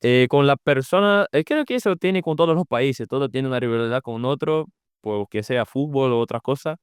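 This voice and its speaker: A man's voice: medium pitch, expressive, normal speed, smooth, dry recording, clean studio sound. A young man from Brazil with a Brazilian Portuguese accent, a Portuguese native speaker answering interview questions in Spanish, hesitant and searching for words. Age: young adult. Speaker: podcast guest, former exchange student.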